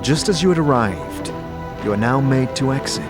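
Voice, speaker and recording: A man's voice: mid-range voice, big pitch swings, moderate pace. A man reading scripted second-person fantasy narration in a dramatic style, as the narrator of an audio drama. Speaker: narrator and game master. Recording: loud music under the voice. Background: loud atmospheric music.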